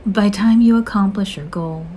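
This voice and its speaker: A woman narrating a scripted guided meditation in a soothing, measured, gentle manner with drawn-out phrases. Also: mid-range voice, breathy edge. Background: soft music.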